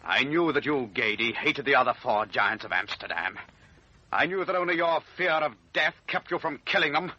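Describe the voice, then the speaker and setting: A man's voice: medium pitch, expressive, normal speed, bright, forceful tone, breathy edge. A man playing a vindictive, murderous gunman in an old radio drama, with an intense, menacing, theatrical delivery. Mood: bitter, gloating. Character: vindictive murderous man holding others at gunpoint. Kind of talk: 1940s radio acting. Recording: dull lo-fi old radio recording.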